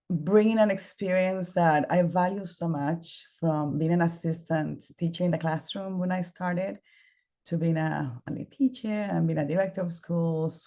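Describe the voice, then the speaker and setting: A woman speaks English over a video call. Her voice sits low and dark. She tells her story in a warm, expressive manner. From Costa Rica. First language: Spanish. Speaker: early education trainer. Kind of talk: presenter introducing herself.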